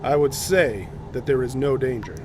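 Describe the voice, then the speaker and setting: An actor playing a confident, formal expedition scientist in an audio drama, speaking with assurance. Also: male voice, mid-range voice, normal speed, noisy background, close to an everyday voice. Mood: assured.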